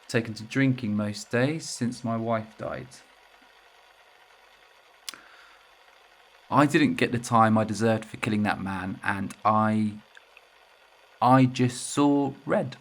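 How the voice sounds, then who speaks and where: An actor playing a bitter, self-loathing widowed ex-convict confessing to murder in an audio drama, with halting delivery. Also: male voice, lowish voice. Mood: subdued, ashamed.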